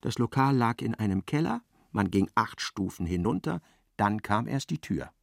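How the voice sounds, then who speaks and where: An actor playing a dry storyteller, giving measured, precise literary narration in a radio play. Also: male voice, fairly low pitch, very animated, gravelly, dry recording, full character voice.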